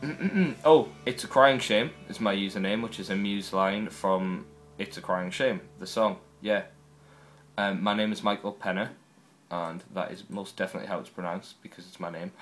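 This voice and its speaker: A man's voice: on the low side, fairly even intonation, moderate pace, a bit bright, a little hiss or hum. A young man with a Newcastle accent, chatting wryly and rambling through his first video blog. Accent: Newcastle Geordie. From North East England. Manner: chatty, wry, rambling asides. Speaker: vlogger.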